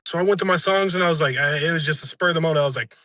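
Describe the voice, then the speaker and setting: A young man with a raspy voice, a musician being interviewed, chatting casually and earnestly in slang and profanity, often backtracking. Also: a little high, racing, sharp tone, dry recording, studio quality. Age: young adult.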